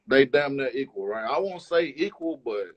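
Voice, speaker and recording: A man's voice: medium pitch, expressive, moderate pace, dull audio. A man chats casually as an interview guest, using heavy slang and profanity and repeating himself for emphasis. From Chicago, United States. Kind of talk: casual chat.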